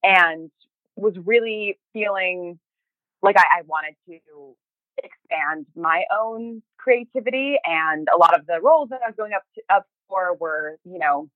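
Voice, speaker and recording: A woman talking casually as an interview guest, halting and often rephrasing as she tells her story. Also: on the low side, no room sound. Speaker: podcast interview guest, writer and creator. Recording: thin, phone-like narrow band.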